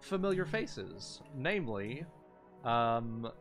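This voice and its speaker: A man improvising narration as game master of a tabletop fantasy game, halting and repeating his phrases. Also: mid-range voice, very animated, fairly bright tone. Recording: background music behind voice. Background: music.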